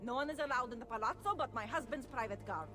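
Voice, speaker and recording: A woman's voice: on the high side, quick pace, natural voice. A woman voicing a haughty, paranoid noblewoman in a video game, imperious and theatrical. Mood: frantic, imperious. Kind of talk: video game cutscene acting. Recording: music behind the voice.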